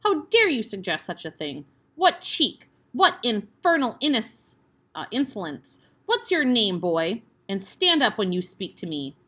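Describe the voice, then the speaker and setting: A woman doing a towering, brutal headmistress from a storybook, loud and contemptuous, barking every order and sneering at small children. The voice is bright and keen, and it lurches up and down in pitch. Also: big pitch swings, normal speed. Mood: bullying. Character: huge bullying tyrant headmistress, older woman.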